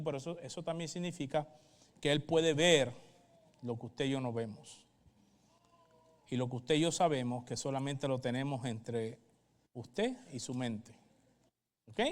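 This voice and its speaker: A pastor, a man with a Puerto Rican accent, teaching the Bible in a didactic way and checking in with short questions. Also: a little high. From Puerto Rico. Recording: reverberant hall sound.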